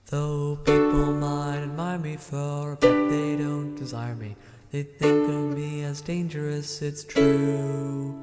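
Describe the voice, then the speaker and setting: A woman's voice: low voice, little pitch movement, slow. A woman singing as a lonely, feared wolf in an audio drama, over plucked guitar. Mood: rueful. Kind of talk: slow sung musical number in an audio drama. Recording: echoey, reverberant, with guitar. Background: plucked guitar accompaniment.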